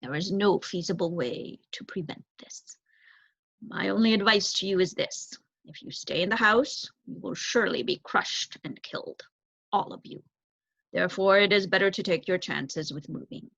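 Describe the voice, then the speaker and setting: A woman voicing a grave, formal male owl in a storybook, speaking in measured pronouncements. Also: fairly low pitch, vocal fry, fairly bright tone, breathy edge, no room sound, full character voice. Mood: grave, dismissive. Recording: dull, lo-fi close recording.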